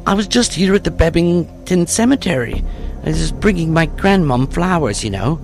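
A composed female police detective as a man puts her on in a narrated crime story. The voice is lifted high, brisk and motherly in manner, with music clearly behind it. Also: expressive. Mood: friendly, pretending. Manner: brisk, calls people love and dear.

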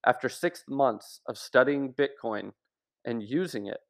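A man's voice: medium pitch, lively intonation, a little slow, creaky. Man reading an essay aloud. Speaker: article narrator. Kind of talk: scripted narration of an essay.

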